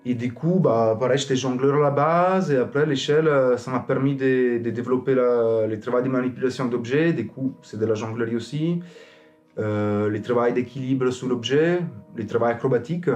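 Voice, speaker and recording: A man's voice: mid-range voice, moderate pace, a little mellow, lo-fi sound. Man over music. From abroad, outside France. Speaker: circus acrobat, company founder. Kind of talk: informal interview about own work.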